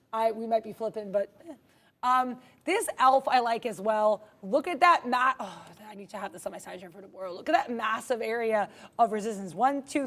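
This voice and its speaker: A young woman with a slightly high voice co-hosts a live trading stream. She talks through stock charts casually and playfully, and she laughs and slips puns in as she goes. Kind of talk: live market commentary, casual chat.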